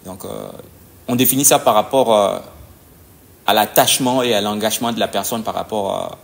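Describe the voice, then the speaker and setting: Gravelly man, halting. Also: lowish voice. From DR Congo. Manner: halting, searching for words, frequent "tu vois". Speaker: judo coach. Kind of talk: conversational interview answers.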